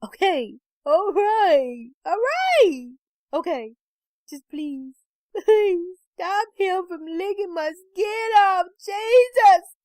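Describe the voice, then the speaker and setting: A woman's voice: very high voice, big pitch swings, drawn-out words, a little mellow, no room sound, pitched above the performer's own voice, exaggerated voice. A woman voicing a hysterical, pleading wife who shrieks and cries out in panic as part of a story. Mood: pleading, tearful.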